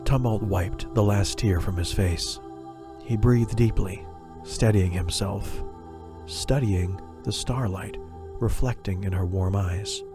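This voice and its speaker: Low-pitched man narrating fantasy drama. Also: slow pace. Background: music.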